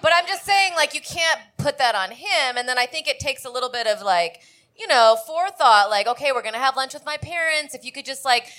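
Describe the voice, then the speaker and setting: A woman's voice: sits high, expressive, fairly quick, pushed and bright. A woman co-hosting a live comedy podcast, giving blunt, opinionated advice on stage. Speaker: podcast co-host.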